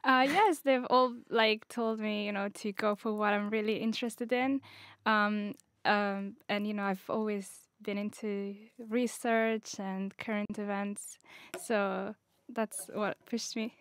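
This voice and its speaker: A woman's voice: medium pitch, fairly even intonation, slow pace, clear, resonant tone, no echo. A young woman from Italy speaking politely and a little hesitantly as a questioner in a studio discussion. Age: young adult. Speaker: audience questioner in a podcast. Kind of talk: informal interview question. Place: small glass-walled radio studio. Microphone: studio boom microphone with headphones.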